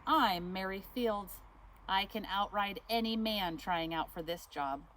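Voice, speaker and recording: A woman voicing a bold, determined woman stagecoach driver in a storybook, speaking slowly and firmly. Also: mid-range voice, a bit bright, slight background noise, close to an everyday voice, low-quality recording. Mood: proud.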